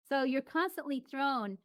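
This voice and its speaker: A woman's voice: high-pitched, dry recording, clean studio sound. A woman as an interview guest, talking casually and reflectively with frequent restarts and fillers. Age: adult.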